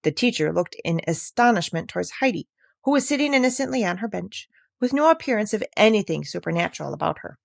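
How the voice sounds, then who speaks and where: A woman's voice: mid-range voice, lively intonation, fast talker, vocal fry, no room sound, clean studio sound. A woman reading a children's classic aloud as a scripted storybook narrator.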